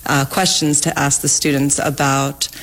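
Very low-pitched woman explaining. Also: flat delivery, measured pace, a bit bright. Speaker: language arts teacher. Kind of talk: explanatory interview talk.

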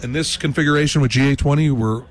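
A man from New England, a blues musician, giving relaxed, rambling answers in a casual interview. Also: medium pitch, fast talker.

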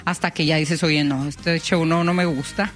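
A woman's voice: sits low, normal speed, faint music behind. Chatty woman singer. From China, Nuevo León, Mexico. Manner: lively, colloquial slang, laughs easily. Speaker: singer, radio guest. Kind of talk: casual chatty interview. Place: radio studio booth.

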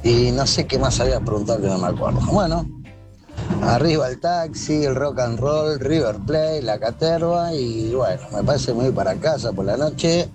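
Argentine man talking over loud music. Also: mid-range voice, lively intonation, moderate pace. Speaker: listener leaving a voice message, taxi driver. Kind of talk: casual radio message.

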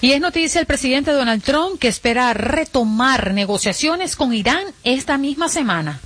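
A woman with a mixed Venezuelan and Caribbean Spanish accent hosts a morning radio show. Her voice sits a little high, playful in the chat and brisk and even on the headlines, close on a studio microphone. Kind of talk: lively morning radio chat and news reading.

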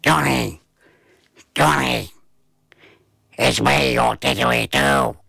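Man voicing a gruff little talking didgeridoo. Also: mid-range voice, slow, pushed and bright, dry recording, studio quality, full character voice. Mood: calling out.

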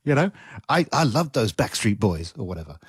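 A man's voice: mid-range voice, sing-song, a little fast, husky, dry recording, clean studio sound. A sixty-year-old man hosting a casual chat, musing in a wry, self-mocking way. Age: 60s. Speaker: host.

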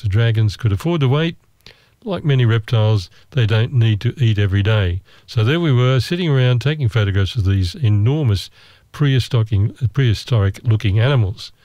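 A man's voice: medium pitch, a little mellow, dry recording. Wry man telling a travel story. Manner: wry, self-mocking, measured. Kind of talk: casual storytelling.

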